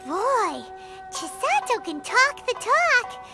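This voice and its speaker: A woman voicing a small, cheeky, childlike fairy companion in a video game, with a squeaky voice and a blunt manner. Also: sing-song, slow, over loud music, exaggerated voice. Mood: knowing, cheeky. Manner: speaks of herself in third person, blunt.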